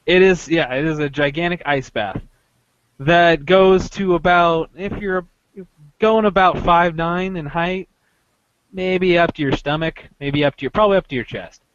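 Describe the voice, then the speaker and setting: A man hosting a podcast, casually recounting an experience in a halting way, often interrupting himself as he goes. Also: on the high side, smooth, no echo, dull audio. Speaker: podcast host telling a story.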